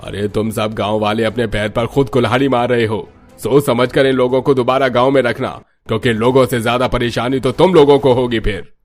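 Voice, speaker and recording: A man's voice: medium pitch, fairly even intonation, brisk, faint music behind, close to an everyday voice. Scornful, arrogant landlord acted by a man. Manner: scornful, warning. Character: menacing, arrogant village landlord.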